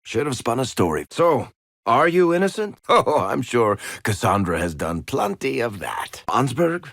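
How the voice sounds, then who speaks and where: A man's voice: medium pitch, big pitch swings, a little slow, gravelly, a bit bright, dry recording, clean studio sound, full character voice. A man playing a sardonic, teasing dwarf rogue and storyteller in a video game. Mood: wry. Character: wry dwarf rogue storyteller, shifty charming smuggler type. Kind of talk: video game character dialogue.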